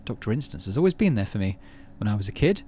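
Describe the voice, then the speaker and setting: A man's voice: fairly low pitch, very animated, quick pace, mellow, slightly rough. A man reading the lines of a proud, prickly teenage girl scientist in a game, in a near-plain voice. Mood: earnest. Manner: curt and defensive.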